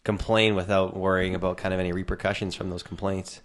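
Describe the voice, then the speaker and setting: Fast-talking low-voiced young male host. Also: flat delivery. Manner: rambling, jokey, swears freely. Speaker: podcast host. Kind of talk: casual chat.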